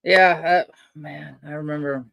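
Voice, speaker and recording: A middle-aged man with a soft voice, chatting casually while he unpacks and crafts. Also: fairly high pitch, fairly even intonation, a little slow, creaky, dull audio. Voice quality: soft-spoken. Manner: rambling, chatty, many fillers and restarts. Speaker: vlogger unboxing and crafting.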